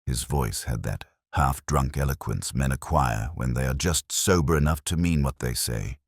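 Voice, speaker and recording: A man narrating a literary detective story in the first person as a world-weary inspector, measured, reflective and melancholy. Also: a bass voice, slightly rough, dry recording, clean studio sound.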